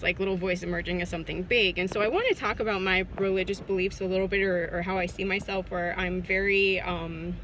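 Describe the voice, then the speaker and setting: Woman, forties, casual phone-camera vlog, bright tone, hesitant with fillers, inside a car, faint street noise. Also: lowish voice, rough recording. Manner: hesitant, many fillers and restarts. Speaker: vlogger. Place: parked car, indoors. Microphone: phone at arm's length.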